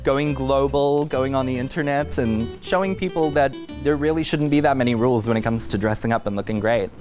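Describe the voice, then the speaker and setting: A man, a drag performer, telling stories in an animated, expressive way during an interview. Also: medium pitch, a little fast. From South Africa. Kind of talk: casual interview chat. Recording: music playing behind. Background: background music.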